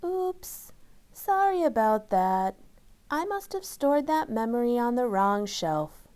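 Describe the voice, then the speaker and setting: A woman voicing a kindly, forgetful female memory keeper in a children's story, gentle and motherly as she prompts. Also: fairly high pitch, big pitch swings, takes it slowly, voice pushed up. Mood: apologetic, prompting. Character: Miss Pickles, kindly, forgetful female hippocampus who keeps memories.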